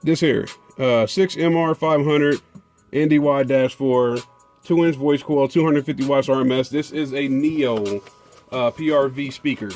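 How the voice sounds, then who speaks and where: A man talks loosely to his viewers, drawling through fillers and restarts as he shows off new car audio gear. His voice sits at an ordinary middle pitch. Faint music plays behind him in what sounds like a garage. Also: measured pace, a little mellow. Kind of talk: casual unboxing chat.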